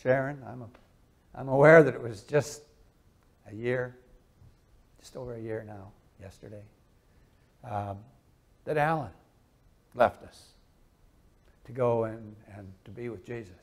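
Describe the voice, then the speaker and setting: An older man in his sixties preaching a sermon from a lectern in a small chapel, moving between loud emphasis and soft, hushed lines while gesturing with his hands. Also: medium pitch, unhurried, pauses often. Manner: animated preaching, wide swings from loud emphasis to soft hush. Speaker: pastor.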